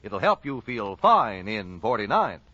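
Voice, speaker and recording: A man's voice: lowish voice, big pitch swings, a little slow, over loud music. A man with an American accent announcing and reading sponsor ads in 1940s radio style. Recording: dull lo-fi 1940s radio recording.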